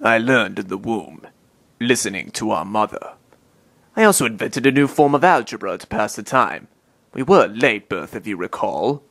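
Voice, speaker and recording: Man voicing a gravelly, scornful old schemer. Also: on the low side, animated, normal speed, fairly bright tone, no room sound, heavily acted. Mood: solemn recounting. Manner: precise, pompous, contemptuous. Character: cold, cunning old man, scornful long-lost brother. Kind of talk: comic dub voice acting. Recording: voice over background music.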